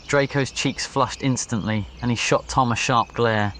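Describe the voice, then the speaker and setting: A man's voice: medium pitch, a little slow, fairly bright tone, a little hiss or hum. A man reading a scripted ASMR story as its narrator. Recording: nature ambience behind voice. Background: crickets, birds and insects.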